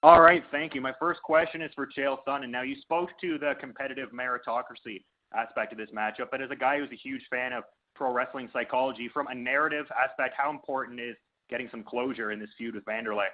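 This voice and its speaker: Brisk male reporter on a phone line. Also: medium pitch, fairly even intonation. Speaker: media reporter asking questions. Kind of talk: prepared conference call questions. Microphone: telephone.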